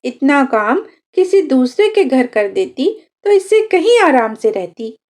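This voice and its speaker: An overworked village housewife grumbles as a woman storyteller plays her. She is high-voiced and animated. Her complaints come slowly and wearily, in storybook style. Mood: weary grumbling. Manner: complaining, weary.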